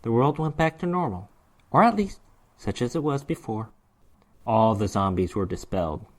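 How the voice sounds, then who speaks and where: A man's voice: mid-range voice, expressive, moderate pace. Man giving a theatrical story reading. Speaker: narrator of a short story. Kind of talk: dramatic reading of fantasy horror prose.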